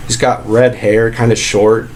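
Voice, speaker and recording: A man acting as an aggrieved fast-food worker with a fairly low voice, lodging a casual complaint. He rambles and stalls, repeating himself as he goes, over a noticeably noisy recording of a prank call. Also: flat delivery, close to an everyday voice. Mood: earnest, indignant. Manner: rambling, halting, repetitive. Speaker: prank caller posing as a sandwich shop employee.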